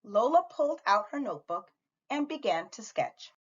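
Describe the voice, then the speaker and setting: A woman reading a picture book aloud to children in an animated, expressive way, as a teacher does. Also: a little high, normal speed, husky, highs cut off. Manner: animated, acts out the dialogue.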